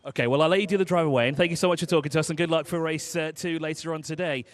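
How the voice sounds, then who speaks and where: A man reporting live from an outdoor race pit lane, chatty and quick with joking asides. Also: on the high side, fairly even intonation. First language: English.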